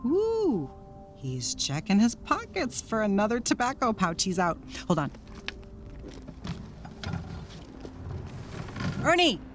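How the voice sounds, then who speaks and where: An actress playing a playful, restless 1930s wife chattering to herself in a period audio drama, singsong and coy, drifting into murmurs. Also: female voice, medium pitch, huge pitch swings, normal speed. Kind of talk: period radio drama acting. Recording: loud music behind the voice.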